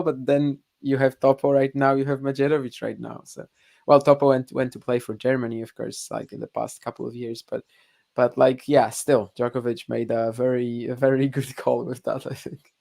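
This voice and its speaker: A man's voice: medium pitch, creaky, a little mellow. A man from Poland, co-hosting a casual podcast with hesitant, filler-heavy talk. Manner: hesitant, lots of fillers and restarts, laughs a bit. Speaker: podcast co-host. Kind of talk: casual chat.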